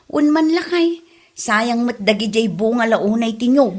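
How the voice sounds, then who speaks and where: Woman playing chatty ilocano farm wife, highish voice, drawn out expressive talk, comedy drama. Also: a little slow. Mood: concerned, coaxing. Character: chatty rural Filipino wife and mother.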